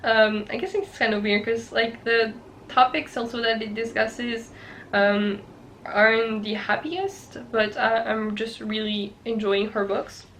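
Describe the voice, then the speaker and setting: Chatty French woman, fairly high voice. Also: fairly even intonation, a little slow, fairly bright tone, slight background noise, low-quality recording. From France. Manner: chatty, restarts often, many "um" and "like". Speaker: book vlogger. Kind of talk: casual chat to camera.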